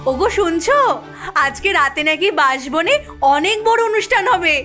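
Very high, bright village wife played by a woman. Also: animated, normal speed, faint music behind, exaggerated voice. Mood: eager. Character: chatty village wife calling to husband. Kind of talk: animated cartoon voice acting.

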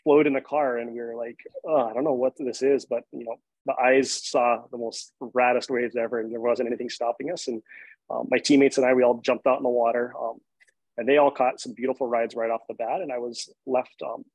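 A man in his forties from Hawaii tells a personal story in a calm, steady way, with the odd "um". His voice is a bit husky. The video call sound is dry and close. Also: medium pitch, brisk, no echo, rough recording. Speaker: guest, shark activist.

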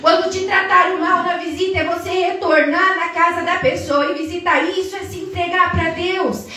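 A young Brazilian woman preaching a Pentecostal sermon in a sing-song, fervent cadence that climbs to shouts, recorded from far across a large tiled church hall. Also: very high voice, fairly even intonation, moderate pace. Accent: Brazilian Portuguese. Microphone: far camera across the hall.

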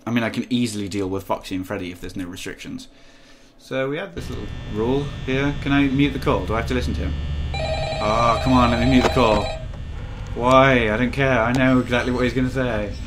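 A young man with a sore throat, slightly hoarse and nasal, chatting casually through a game, restless with sudden bursts of excitement. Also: medium pitch. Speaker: gaming video creator. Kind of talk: casual gameplay commentary. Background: game music and mains hum.

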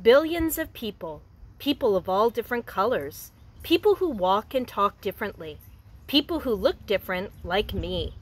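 A woman in her thirties, a preschool teacher, reading a picture book aloud to young children outdoors in a gentle, expressive voice. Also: medium pitch, moderate pace. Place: outdoors in front of a house.

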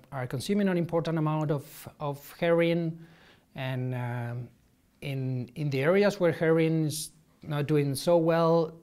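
Male ecologist, 30s, slightly high voice, hesitant interview delivery on a lapel mic. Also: darkish tone, clear, resonant tone. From Spain. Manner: halting, many restarts and ums, gestures while explaining. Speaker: marine ecologist. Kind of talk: interview answers to camera. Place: office with shelves, indoors.

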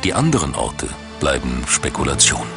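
Deep-voiced man narrating a documentary. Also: wildly swooping pitch, vocal fry. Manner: measured, dramatic storytelling. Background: background music.

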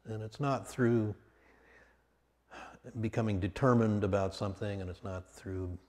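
A man leading a guided meditation as a teacher, speaking calmly and off the cuff in short, measured phrases with long pauses. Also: fairly low pitch. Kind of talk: guided meditation, spoken slowly and unscripted. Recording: long reverberant hall sound.

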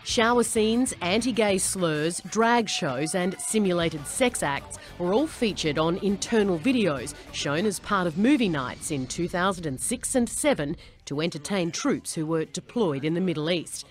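A woman's voice: lowish voice, lively intonation, measured pace, faint music behind. An Australian woman in her thirties delivering a television news report as a correspondent. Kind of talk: live news report and scripted narration. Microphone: lapel mic.

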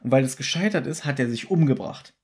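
A man's voice: mid-range voice, fast. A man co-hosting a podcast, retelling a story in great detail in casual chat. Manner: detailed, animated storyteller who acts out quotes. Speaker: podcast co-host.

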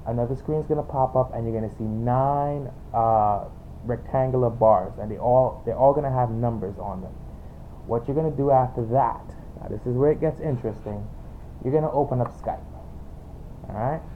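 A man with a Trinidadian accent giving an informal, halting software tutorial, explaining off the cuff and repeating himself. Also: mid-range voice. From Trinidad and Tobago. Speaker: tutorial presenter. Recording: tinny phone-like home recording, background noise.

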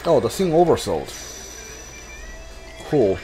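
A man's voice: medium pitch, very animated, takes it slowly, mellow, faint music behind. A man streaming a video game with casual commentary, thinking aloud in fragments and talking to the characters. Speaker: video game streamer playing a blind playthrough.

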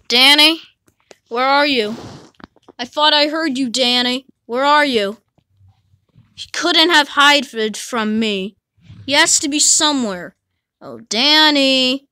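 A deranged man stalks his family through a haunted hotel, as a woman puts him on. He drawls slow, taunting lines in a lowered voice that stays bright. The sound is close and dry. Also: dry recording, heavily acted. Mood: searching. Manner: menacing, taunting, deep put-on voice. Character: crazed murderous man hunting his family.

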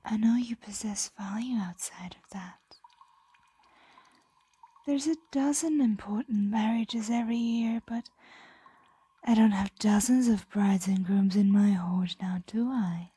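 A woman voicing a possessive, condescending ancient dragon in a breathy, coaxing ASMR roleplay whisper. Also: medium pitch, a little slow, dark tone, dry recording. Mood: coaxing, smug.

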